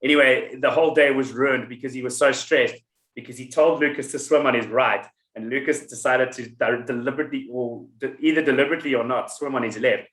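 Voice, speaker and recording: A man's voice: mid-range voice, steady tone, quick pace, fairly bright tone, reverberant, poor audio. A man hosting a podcast in casual chat, rambling and telling stories with many restarts. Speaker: podcast host.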